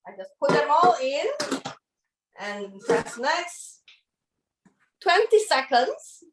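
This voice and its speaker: A woman's voice: sits high, sing-song, takes it slowly, reverberant, noisy background. A woman from Malaysia on a video call from her home kitchen, joking that she is a witch while demonstrating a kitchen machine. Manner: jokey, speaks as a witch with a cauldron. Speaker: Thermomix consultant. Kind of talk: playful witch-themed cooking demo.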